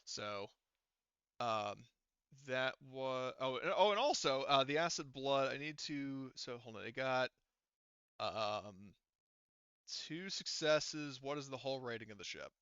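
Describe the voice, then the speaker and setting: Male game master, clear and bright, casual tabletop refereeing with loose swearing. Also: mid-range voice, lively intonation, takes it slowly, no echo, highs cut off.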